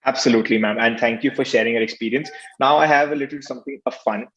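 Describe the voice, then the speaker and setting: Polite young Indian interviewer, muffled. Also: male voice, medium pitch, fast talker, fairly bright tone, a little hiss or hum. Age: teens. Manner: polite, formal, with many "uh" fillers. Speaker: student interviewer. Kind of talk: casual interview questions. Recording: dull lo-fi sound.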